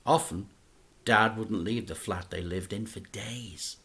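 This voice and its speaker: A man, a teacher, reading a children's book aloud in an expressive, dramatic storytelling manner. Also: on the low side, a little slow.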